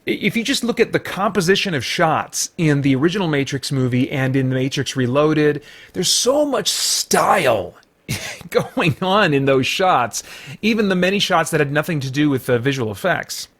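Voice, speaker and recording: A man giving an unscripted movie review as a host, speaking emphatically in a rambling, halting way with repeated false starts. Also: a little high, expressive, fairly bright tone. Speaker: podcast host and film reviewer.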